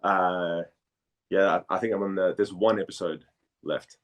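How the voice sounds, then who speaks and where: Deep-voiced man in casual conversation. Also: steady tone. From Denmark. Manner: halting, searching for words, repeats phrases. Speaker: actor and voice actor being interviewed.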